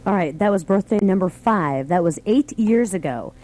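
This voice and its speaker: A woman hosts a casual live radio show, chatting informally as she reminisces. Her voice is mellow, at an ordinary pitch for a woman, in a studio setting. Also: animated, normal speed, slight background noise.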